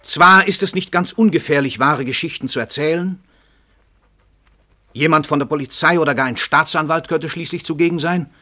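Pompous, sharp-voiced celebrated crime writer. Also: male voice, a little high, normal speed. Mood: pompous, sly. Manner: grandiose, theatrical, commanding declamation. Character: pompous, cold-blooded world-famous male crime writer. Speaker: actor in a radio play. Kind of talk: German radio play acting.

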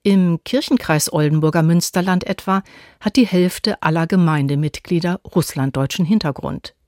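A woman reporter narrating a scripted radio feature in a measured, even reading. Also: lowish voice, dry recording, studio quality.

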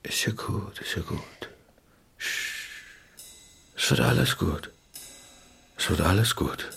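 A man's voice: sits low, normal speed, vocal fry, a bit bright, a little hiss or hum, heavily acted. An actor playing a hot-tempered, defensive husband and father in a naturalistic radio drama, emphatic and indignant. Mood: soothing. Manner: emphatic, indignant, repeats himself.

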